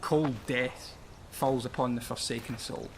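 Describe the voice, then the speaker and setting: Doom-laden old male miner acted by a man, slow, solemn, incantatory, radio play, faint noisy ambience. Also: mid-range voice, fairly even intonation, creaky. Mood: mournful, solemn.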